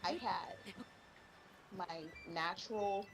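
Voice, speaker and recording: A woman in casual stream chat, venting in an animated way and acting out the voices she quotes. She says her natural voice is deeper and that she pitches it up. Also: slow, creaky, background noise. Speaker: stream co-host. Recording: music playing behind.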